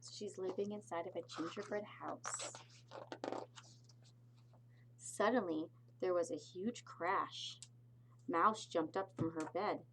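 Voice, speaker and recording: Slow-paced woman reading a children's story. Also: lowish voice, steady tone, slight background noise, poor audio. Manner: slow, deliberate phrasing with explanatory asides. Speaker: pre-K teacher reading aloud. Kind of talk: expressive picture-book read-aloud for young children.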